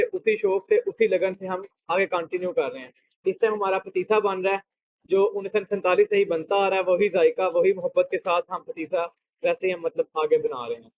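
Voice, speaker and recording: A man, a sweet shop owner answering a reporter earnestly in his factory. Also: high-pitched. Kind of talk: news interview answers. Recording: dull lo-fi field recording.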